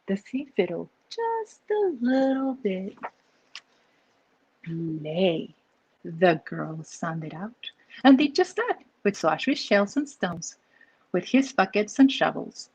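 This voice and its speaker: A woman from Peru with a Peruvian accent, an author reading her picture book aloud with expressive storytelling and asides. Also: on the low side, breathy edge, dry recording, low-quality recording.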